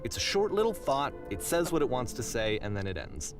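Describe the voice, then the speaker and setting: An actor playing an earnest, reflective game developer who guides players in conversational scripted narration. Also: male voice, mid-range voice, very animated, brisk, over music, lightly acted. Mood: reflective, explanatory. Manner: earnest, explanatory.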